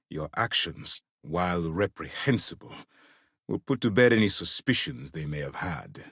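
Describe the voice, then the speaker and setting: A man's voice: low voice, fairly bright tone, no echo, clean studio sound, heavily acted. A man playing a stern, principled military commander in a video game, speaking in a clipped, formal, commanding way. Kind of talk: scripted game character dialogue.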